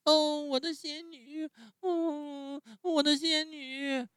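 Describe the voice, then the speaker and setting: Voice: mid-range voice, huge pitch swings, moderate pace, a bit bright, no echo, clean studio sound, pitched above the performer's own voice. A storyteller voicing a stubborn, whining wooden puppet boy who is sick in bed. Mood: wailing. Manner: whining, pleading, wailing.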